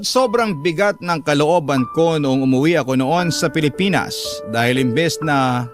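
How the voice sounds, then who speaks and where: A Filipino man narrates a radio drama in the first person. His voice is fairly high for a man, sorrowful and measured, with music clearly behind him.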